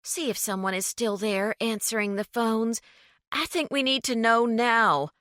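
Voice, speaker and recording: A woman's voice: a little high, measured pace, a bit bright, no echo, clean studio sound, voice pushed up. A woman voicing an anxious young woman who pleads and then barks orders. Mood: urgent. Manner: urgent, pleading, bossy.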